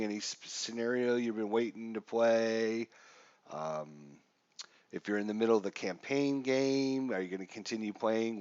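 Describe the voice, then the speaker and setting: A man's voice: mid-range voice, darkish tone, dry recording. A man with a stuffy, congested voice casually walking viewers through a wargame scenario on a live stream, with lots of fillers. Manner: casual, chatty with frequent fillers. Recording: dull, dry lo-fi stream audio.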